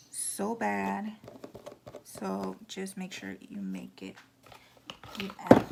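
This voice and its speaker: A woman with a hoarse, raspy voice giving a casual at-home nail how-to, chatty with lots of fillers. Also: medium pitch, darkish tone, slight background noise. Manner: chatty, filler-heavy, drops into whispers. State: hoarse from talking. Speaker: nail tutorial vlogger.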